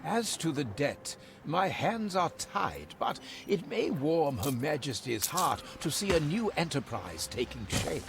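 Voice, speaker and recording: An actor playing a courtly royal ambassador in a video game, speaking in a formal, urbane way. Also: male voice, fairly high pitch, big pitch swings, unhurried, vocal fry, few pauses, noisy background, heavily acted. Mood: regretful, diplomatic. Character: courtly, smooth-tongued male ambassador to the Queen. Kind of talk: video game cutscene acting. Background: music.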